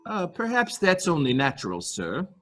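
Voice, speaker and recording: A performer playing a polite, hesitant servant loyal to the employer, with a husky voice, in a dramatic murder mystery reading. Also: mid-range voice, wildly swooping pitch. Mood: hesitant, deferential. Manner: halting, polite, deferential.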